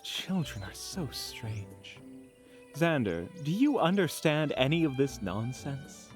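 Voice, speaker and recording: Man playing a refined, animated gentleman adventurer. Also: mid-range voice, takes it slowly, soft background music. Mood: dry, cultured. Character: refined, cultured male travelling companion. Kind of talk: audio roleplay acting.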